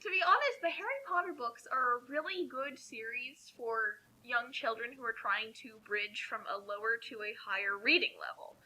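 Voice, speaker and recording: A woman giving casual commentary on a reading, explaining things in a halting, conversational way. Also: fairly high pitch, lively intonation, bright, forceful tone, rough recording. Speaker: co-host of a group fanfiction reading.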